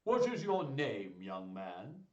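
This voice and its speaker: Man playing a raspy, sneering storybook duke. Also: fairly low pitch, wildly swooping pitch, slow, highs cut off, heavily acted. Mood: commanding. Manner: commanding, snarling. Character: stern, hostile, sneering male duke. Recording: roomy echo, distant microphone.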